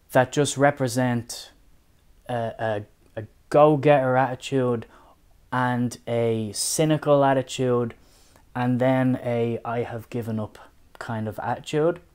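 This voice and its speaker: A young adult man with a Dublin accent, talking through a book review in a measured, thoughtful way. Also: mid-range voice, a little mellow. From Ireland. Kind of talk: casual spoken review.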